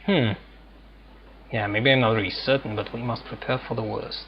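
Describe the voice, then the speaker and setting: A man's voice: medium pitch, steady tone, normal speed, highs cut off, a little hiss or hum. A man giving casual let's-play commentary as a gaming streamer.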